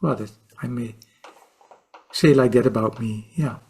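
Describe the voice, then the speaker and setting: Guest, Dutch man, 60s, unhurried, hesitant, lots of fillers and restarts. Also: mid-range voice, gravelly, darkish tone, breathy edge. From Netherlands. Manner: slow, halting, with many repeated words and fillers. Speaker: guest, meditation teacher and author. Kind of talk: podcast interview.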